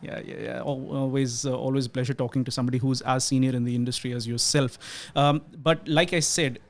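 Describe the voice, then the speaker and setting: A man's voice: mid-range voice, steady tone, fairly quick. A man hosting an interview podcast, introducing his guest earnestly and asking questions with many fillers and restarts. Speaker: podcast host.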